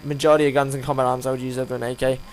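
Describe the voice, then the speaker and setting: A tired man with a croaky voice gives casual, unscripted game commentary, rambling and hesitant as he reviews. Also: mid-range voice, little pitch movement, very fast, a little mellow. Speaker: gaming video host reviewing a weapon. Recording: home recording with audible hum, background noise.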